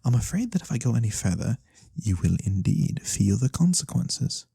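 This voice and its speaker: A performer voicing a mysterious, courteous fantasy lorekeeper in a soft-spoken ASMR roleplay, breathy and theatrical with knowing pauses. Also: mid-range voice, big pitch swings, no echo, studio quality, exaggerated voice. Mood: ominous, reluctant. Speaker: storyteller character.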